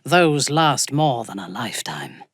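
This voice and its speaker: An actress playing a haughty, ruthless female drow elf in a fantasy video game, speaking in a low, husky, measured and coldly menacing voice. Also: big pitch swings, no echo, clean studio sound, heavily acted. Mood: haughty musing. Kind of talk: scripted fantasy game voice acting.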